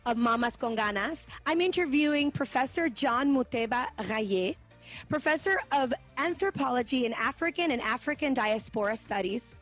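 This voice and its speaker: A woman's voice: high-pitched, fairly bright tone. A woman hosting a podcast interview, enthusiastic and chatty as she introduces her guest. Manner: enthusiastic, bilingual code-switching intro. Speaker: podcast host.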